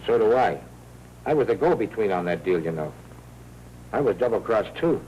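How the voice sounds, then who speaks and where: Streetwise american 40s newspaper wiseguy, pushy n slangy, voice jumps around, bit of hiss. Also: male voice, fairly low pitch, very animated, moderate pace, highs cut off. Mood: scheming. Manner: slangy wisecracking. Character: streetwise wisecracking newsroom man, pushy and scheming. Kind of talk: 1940s crime film acting. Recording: noisy 1940s film soundtrack.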